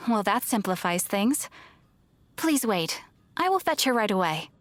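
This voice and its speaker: A woman's voice: medium pitch, very animated, a little slow. A woman voicing a polite, helpful young receptionist in a video game, obliging in manner.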